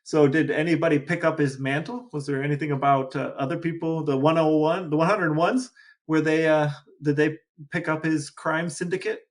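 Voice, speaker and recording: A man's voice: on the high side, fairly even intonation, moderate pace. A man co-hosting a podcast with his brother, reading out lists and asking playful questions in casual chat. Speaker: podcast co-host.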